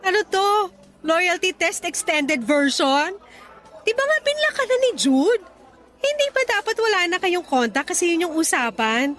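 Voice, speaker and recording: A woman's voice: very high voice, very animated, normal speed, fairly bright tone, heavily acted. A young woman acting a hurt, angry, sarcastic girlfriend in a radio drama. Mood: sarcastic, accusing. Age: young adult. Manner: sarcastic, confrontational, emotional. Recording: music behind.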